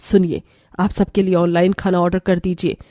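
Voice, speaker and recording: A woman acting a bossy, haughty rich mother-in-law in a cartoon family story. Her voice is dark and mellow at a middling pitch, recorded very dry. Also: flat delivery, normal speed, dry recording, lightly acted. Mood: scornful, annoyed. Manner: bossy, scornful.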